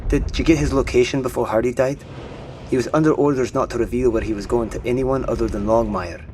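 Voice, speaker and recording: Over clear rumbling noise comes a gruff British marine sergeant acted by a man in an audio drama. He is wary and commanding, with slangy speech and a middling pitch. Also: steady tone, quick pace, close to an everyday voice. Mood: intent.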